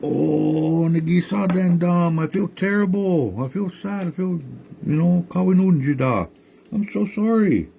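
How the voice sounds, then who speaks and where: A man voicing a cheerful, clueless male tree spirit in a high, raised voice. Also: expressive, measured pace, creaky, mellow, a little hiss or hum, exaggerated voice. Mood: apologetic. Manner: high, breezy, then pleading.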